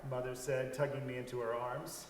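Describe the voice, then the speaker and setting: A man's voice: mid-range voice, flat delivery, a little fast. A man reading a story aloud in a dramatic staged reading. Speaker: reader in a church staff dramatic reading. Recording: echoey hall, distant microphone.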